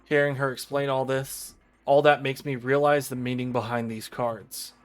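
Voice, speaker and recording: A man streaming a visual novel, reading the game text aloud in a formal, measured storytelling style. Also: medium pitch, little pitch movement. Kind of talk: dramatic read-aloud narration of game text. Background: video game music.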